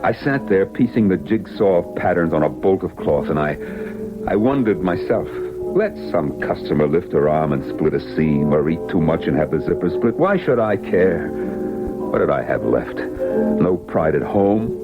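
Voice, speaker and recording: An actor playing a weary, defeated garment pattern maker telling his story haltingly in a vintage radio drama. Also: low-pitched, animated, loud music behind the voice. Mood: weary, resigned. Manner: halting, world-weary, resigned delivery. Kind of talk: dramatic radio acting, first-person narration and dialogue. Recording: muffled, noisy vintage radio drama recording.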